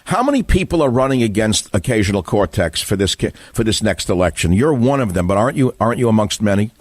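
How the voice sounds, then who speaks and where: An older man with a New York accent, hosting a radio talk show. His voice sits low, and his questions come blunt and pointed, rising in emphasis. It is dry and close on a studio mic. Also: big pitch swings, fast, no room sound. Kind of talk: radio call-in interview.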